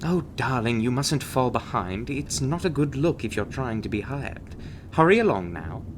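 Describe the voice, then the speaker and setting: A man's voice: medium pitch, lively intonation, normal speed, background noise, close to an everyday voice. A man playing a suave, condescending, secretive monster-hunting agent in a scripted ASMR roleplay, with a theatrical drawl and pet names for the listener. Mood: brisk, commanding. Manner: theatrical, drawling, calls listener darling and doll. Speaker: voice actor in an audio roleplay.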